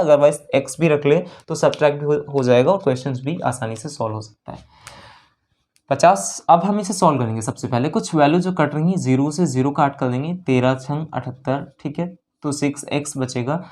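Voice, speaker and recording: A man's voice: fairly high pitch, darkish tone. A man with an Indian accent teaching a lesson, explaining worked problems step by step, often repeating key phrases and checking in with learners. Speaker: maths teacher for exam preparation.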